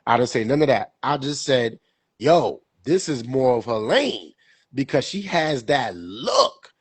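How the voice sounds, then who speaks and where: A man's voice: mid-range voice, sing-song, unhurried, husky, no echo. A young man hosting a podcast, chatting casually about sports, stuffy with a cold and rambling with many fillers and repeats. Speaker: podcast co-host.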